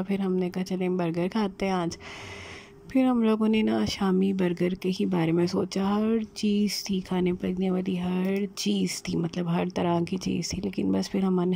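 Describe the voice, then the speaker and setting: A woman narrates her day in a loose, rambling voice-over. Her voice is low and gravelly, with a mellow, dark tone and little rise and fall. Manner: chatty, rambling with fillers. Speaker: vlogger.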